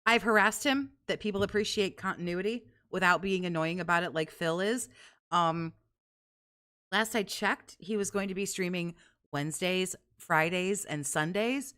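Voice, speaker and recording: A woman with a low voice, co-hosting and commenting casually in a blunt, sarcastic, profane way. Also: normal speed, bright, forceful tone. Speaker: co-host reacting to stream.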